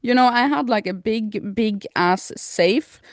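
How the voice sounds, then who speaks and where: A woman's voice: on the high side, slow pace, dry recording, clean studio sound. A woman from Norway co-hosting a podcast in a casual, informal, jokey chat style. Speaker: podcast co-host.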